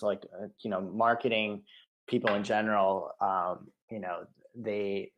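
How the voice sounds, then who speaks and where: Hoarse man rambling with fillers. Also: lowish voice. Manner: rambling, many fillers and restarts. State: losing his voice, clearing throat. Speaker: marketing director, podcast guest. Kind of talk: casual interview answer.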